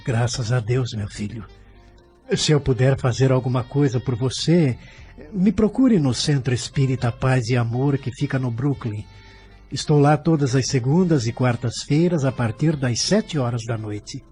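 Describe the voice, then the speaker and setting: A man acting a kindly, composed doctor in an audio drama. His mid-pitched voice is mellow and dark, with gentle, formal phrasing over faint music. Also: moderate pace, natural voice. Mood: kindly, calm. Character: kindly, earnest male spiritist doctor, composed benefactor.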